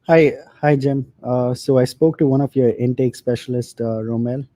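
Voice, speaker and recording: A man calling in to ask a question, speaking in a measured, matter-of-fact way. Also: medium pitch, steady tone, dark tone, no room sound. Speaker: caller. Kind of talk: call-in question.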